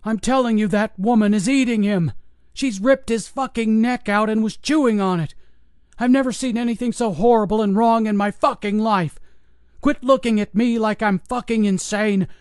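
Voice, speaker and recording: A man voicing a panicked old security guard who shouts and swears in a high, strained voice. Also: normal speed, clear, resonant tone, no echo, studio quality, natural voice. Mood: frantic.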